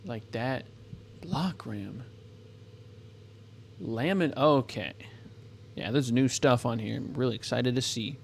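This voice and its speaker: A man's voice: medium pitch, takes it slowly, vocal fry, long pauses, a little hiss or hum. Rambling man narrating his gameplay. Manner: rambling, thinks aloud, self-correcting. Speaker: gameplay commentator. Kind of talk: casual let's-play commentary.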